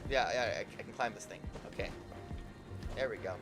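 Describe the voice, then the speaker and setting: Halting male game streamer over music. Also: fairly low pitch. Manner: halting, repeats words while thinking aloud. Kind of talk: casual live gameplay commentary.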